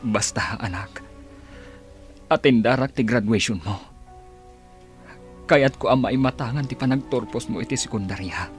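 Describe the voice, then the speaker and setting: An actor playing a gentle, regretful, loving father in a radio drama, tender and earnest. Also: mid-range voice, a little slow, long pauses, faint music behind, lightly acted. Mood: tender, remorseful.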